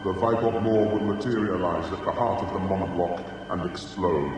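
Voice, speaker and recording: Man as a deep, echoing alien lord. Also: normal speed, lightly acted. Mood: solemn. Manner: solemn, pronouncing, imperious. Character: grave, stern, lordly alien Time Lord. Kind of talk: radio science fiction drama. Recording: cavernous reverb with music behind.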